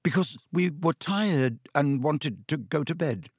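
Male reader doing an earnest, defensive schoolboy for a storybook, pitched up. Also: animated, a little slow, slightly rough, dry recording, clean studio sound, heavily acted. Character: boy student, defensive and earnest.

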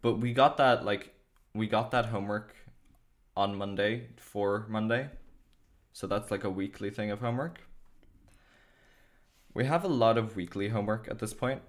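A man's voice: on the low side, moderate pace. A teenage boy with an Irish accent chatting casually and explaining his schoolwork at length, with plenty of fillers. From Ireland. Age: teens. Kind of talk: casual video chat.

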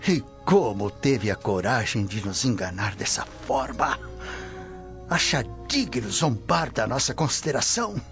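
A stern, imperious Roman censor from a radio drama. He speaks formally and accusingly in a medium-pitched voice. The sound is muffled and music plays clearly behind him. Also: sing-song, a little slow, a bit bright, full character voice. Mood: indignant. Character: stern, haughty Roman censor, indignant at betrayal.

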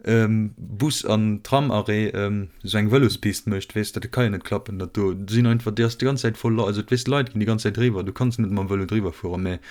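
Rambling Luxembourgish man, lowish voice. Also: steady tone, fairly quick, few pauses, a little mellow. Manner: rambling, many ums, laughs often. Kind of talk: casual chat.

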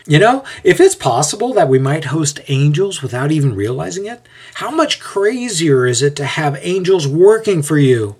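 A man narrating a story voices an eager, friendly young man, animated and informal in his speech. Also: on the high side, a little slow, pitched above the performer's own voice. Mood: playful.

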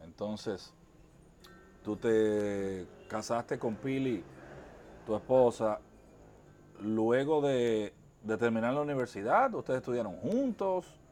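A man's voice: mid-range voice, lively intonation, slow, a little mellow, slight background noise. A man with a Dominican accent, hosting a conversational interview. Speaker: interview show host.

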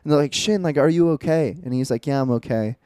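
A man's voice: medium pitch, fast, mellow, clear, resonant tone, dry recording, studio quality. A man in his twenties, co-hosting a casual podcast chat, telling stories in an earnest, reflective way. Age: 20s. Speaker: podcast co-host.

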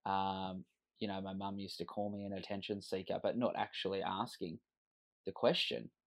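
Australian man, 30s, low voice, earnest, reflective, personal storytelling. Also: little pitch movement, moderate pace. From Western Sydney, Australia. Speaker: podcast guest.

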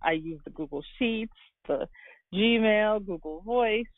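A woman from Guyana speaks as an interview guest, reflective and earnest. Her voice has a frying, creaky edge. The sound is close and dry but narrow and lo-fi, like a call. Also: medium pitch, takes it slowly, no echo. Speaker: interview guest, fashion designer and business owner. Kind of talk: conversational interview answers.

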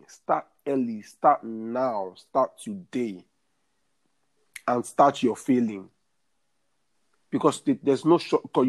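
Interview guest: man, 30s, earnest, repeats himself for emphasis, mid-pitched voice. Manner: earnest, repeats phrases while building a point. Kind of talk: career advice interview.

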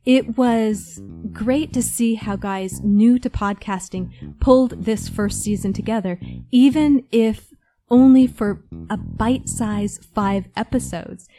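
A woman's voice: a little high, slow pace, dark tone. A woman reading a scripted review in an articulate, enthusiastic manner. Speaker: listener reviewing favourite audio dramas. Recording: guitar music behind. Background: faint plucked guitar music.

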